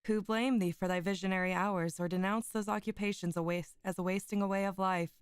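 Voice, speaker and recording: Slightly hoarse giggly streamer woman. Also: medium pitch, fairly even intonation, fairly quick, no room sound. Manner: giggly, chatty, stumbles over foreign words. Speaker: streamer reading aloud. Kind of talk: casual chat and literary reading aloud.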